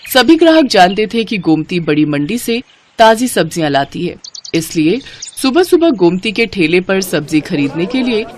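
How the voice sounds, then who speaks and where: A woman's voice: mid-range voice, sing-song, moderate pace, darkish tone, a little hiss or hum. A woman narrating a scripted moral story as the storyteller.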